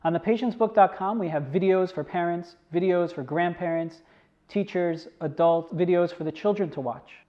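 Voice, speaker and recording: A man's voice: high voice, moderate pace, dark tone. A man presenting a promotional message as a book creator, speaking enthusiastically. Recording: dull, lo-fi sound.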